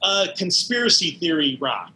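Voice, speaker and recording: Male animator guest, bright forward voice on the higher side, measured recollections, slight background noise. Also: big pitch swings. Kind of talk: reflective interview conversation.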